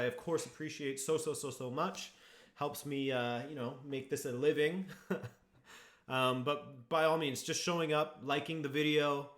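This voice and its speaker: A man hosts a live football watchalong from home, talking straight to his viewers in an easy, chatty way. His voice sits a little high, and he reacts to match moments as he goes. The sound carries a noticeable room echo. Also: a bit bright. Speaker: streamer hosting a football watchalong. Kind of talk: casual live-stream chat and match reactions. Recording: echoey room.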